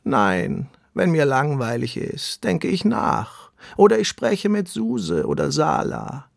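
A man voicing a calm, content female tortoise who speaks slowly and placidly in a children's bedtime story. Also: on the high side, gravelly, dry recording, clean studio sound, pitched above the performer's own voice, heavily acted. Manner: slow, drawn-out, placid.

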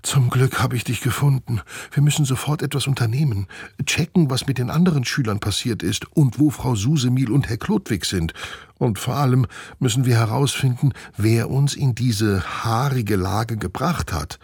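A man voicing a schoolgirl who has been turned into a flying squirrel, talking in an excited, rushed way. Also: medium pitch, husky, no echo, clean studio sound, voice pushed up. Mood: eager, urgent. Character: girl turned into a flying squirrel, hurried and eager.

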